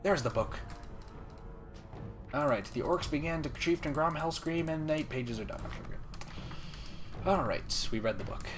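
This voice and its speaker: A young man streaming a game late at night, talking softly and calmly, almost whispering so as not to wake anyone. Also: on the high side, measured pace, long pauses, music in the background. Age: young adult. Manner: soft, calm, near-whispering. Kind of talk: casual gaming stream chat. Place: room at home, late at night.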